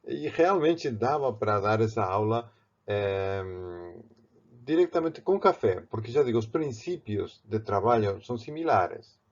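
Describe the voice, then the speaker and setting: A man from Barcelona speaking with a Spanish accent, teaching a painting technique in a halting way, with many restarts. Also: medium pitch, animated, lo-fi sound. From Spain, Barcelona. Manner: halting, with frequent restarts and fillers. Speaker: art teacher. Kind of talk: step-by-step art tutorial.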